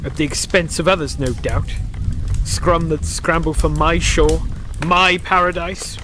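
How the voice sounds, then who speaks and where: A man acting a scornful, higher-voiced innkeeper. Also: steady tone, a little slow, over music, close to an everyday voice. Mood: accusing. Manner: declamatory, scornful, archaic phrasing. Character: suspicious, haughty innkeeper, a hostile zealous father. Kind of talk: theatrical radio play acting in archaic English. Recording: noisy background with ambient sound effects.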